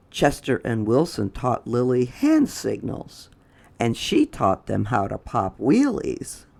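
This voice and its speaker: Slow, very animated storybook reader, male. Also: mid-range voice, gravelly. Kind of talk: children's storybook read-aloud.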